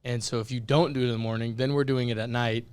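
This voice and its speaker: Young guy on a podcast, voice kinda raspy and worn out, pretty flat and even, chatting candidly. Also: medium pitch, fast talker. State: voice worn out from yelling. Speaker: podcast co-host. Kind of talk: casual podcast chat.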